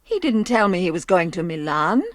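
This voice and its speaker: Low female voice, actress as weary middle-aged mother, long-suffering, radio play storytelling. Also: big pitch swings, normal speed. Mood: concerned. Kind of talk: radio drama narration and dialogue.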